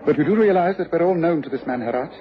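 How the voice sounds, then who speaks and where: An actor playing a commanding, incisive gentleman detective with a British accent in a radio drama. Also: fairly high pitch, lively intonation, fast, close to an everyday voice. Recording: dull, noisy radio broadcast, crowd murmur.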